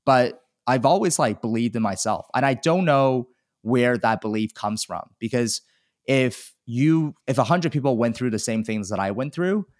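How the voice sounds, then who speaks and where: A man's voice: medium pitch, animated, moderate pace, dry recording, studio quality. A man in his thirties from China, telling his life story candidly as a podcast guest, self-deprecating and chatty. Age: 30s. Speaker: guest, e-commerce seller. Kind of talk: casual podcast interview.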